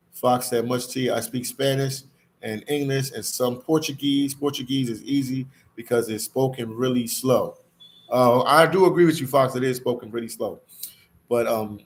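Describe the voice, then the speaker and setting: A man hosting a casual livestream, chatting to viewers and reading their comments, with a slangy, repetitive way of talking that often addresses them as "man". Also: mid-range voice. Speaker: live stream host reading viewer comments. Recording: clear background ambient noise.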